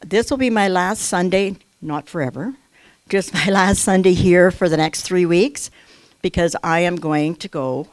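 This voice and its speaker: A woman's voice: fairly low pitch, a little slow, no echo. Chatty woman speaking at a spiritual center. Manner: chatty, warm-hearted, loses her place and corrects herself. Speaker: guest minister at a spiritual center. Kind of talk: casual announcement to a congregation. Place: church hall. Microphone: handheld mic.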